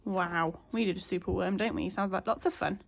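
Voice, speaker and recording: A woman teacher reading a children's storybook aloud in an expressive, child-directed way. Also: lowish voice, brisk, creaky. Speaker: primary school teacher.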